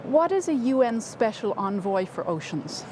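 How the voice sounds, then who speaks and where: A woman's voice: on the high side, very animated, normal speed. Woman hosting, upbeat and fluent. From Ireland. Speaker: host of livestream interview series. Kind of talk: scripted host introduction and questions. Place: outdoors in a city. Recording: outdoor city noise behind.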